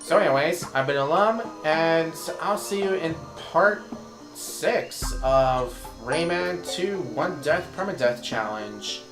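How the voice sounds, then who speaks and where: A man talks through his gameplay in a loose, rambling way, his voice worn hoarse from hours of talking. The sound is roomy, and the game's music plays clearly underneath him. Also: on the high side, expressive, slow. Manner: rambling, thinks aloud while playing. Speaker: let's play commentator. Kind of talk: casual gameplay commentary. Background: game music.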